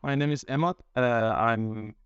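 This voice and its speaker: A man's voice: mid-range voice, unhurried, a bit bright, clear, resonant tone, dry recording, lo-fi sound. A man from Iran speaking as a podcast guest, hesitant with many fillers. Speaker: guest, tech lead. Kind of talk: casual panel talk.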